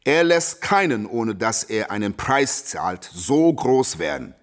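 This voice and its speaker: Proud boxer with animated delivery. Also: male voice, mid-range voice, slow pace, creaky. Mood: solemn, fateful. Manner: boastful, rhetorical questioning. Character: proud, defiant male champion boxer being interviewed. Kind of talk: acted interview in a radio play.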